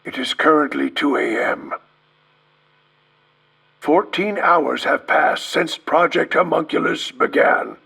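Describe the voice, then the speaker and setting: An actor playing a distraught researcher in a video game, raspy and gravelly, speaking in a grave, halting, theatrical way. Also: male voice, fairly high pitch, pushed and bright, exaggerated voice. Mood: grim, tense. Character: distraught male researcher recording a grim last testimony. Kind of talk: dramatic video game acting.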